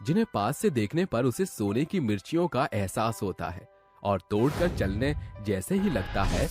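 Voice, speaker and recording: A man's voice: medium pitch, sing-song, normal speed. A man narrating a scripted story as its narrator. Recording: loud music behind the voice.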